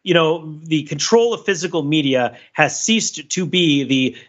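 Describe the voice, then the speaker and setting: Bright-voiced man, discursive podcast talker. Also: a little high, muffled recording. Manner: discursive, halting, checks in with "right?". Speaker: podcast host. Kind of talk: casual analytical discussion.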